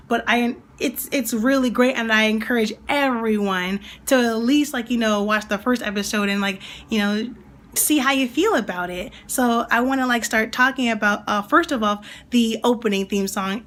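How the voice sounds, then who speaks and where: Raspy young woman gushing about anime. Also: a little high, a bit bright. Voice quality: slightly raspy. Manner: chatty and enthusiastic, rambling asides. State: recovering from a cold. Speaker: anime and book reviewer. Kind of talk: casual video review.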